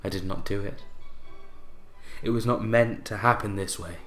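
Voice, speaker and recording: An actor playing a bitter, broken widower in a radio play monologue, brooding and theatrical, with deliberate pauses. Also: male voice, fairly low pitch, fairly even intonation, soft background music, natural voice. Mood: resigned, wounded. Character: bitter, broken widower recounting his unhappy marriage.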